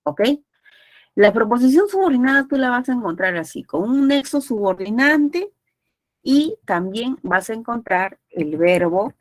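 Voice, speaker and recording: A woman's voice: fairly high pitch, expressive, measured pace. A woman teaching an online class with a nose congested from a cold, explaining didactically and often checking understanding. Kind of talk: explanatory lecture with frequent check-in questions.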